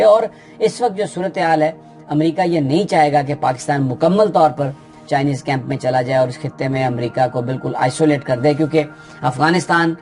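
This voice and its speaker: Pakistani man giving steady political commentary. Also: medium pitch. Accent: Pakistani Urdu. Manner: steady explanatory delivery with frequent hesitations. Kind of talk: unscripted news commentary.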